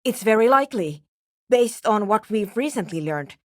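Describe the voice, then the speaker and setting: A woman's voice: mid-range voice, expressive, moderate pace, no room sound, studio quality, lightly acted. A woman playing an earnest, exacting programmer and radio technician in a video game, speaking in precise, formal, clipped technical phrases. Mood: businesslike. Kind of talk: video game character dialogue.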